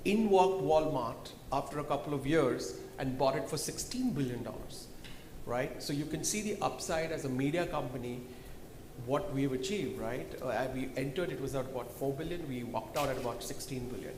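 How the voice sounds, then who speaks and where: A man on a conference panel, speaking confidently as he pitches his company's results. His voice carries through a large hall with a long, roomy echo, and loud ambient noise sits underneath. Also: lively intonation, normal speed.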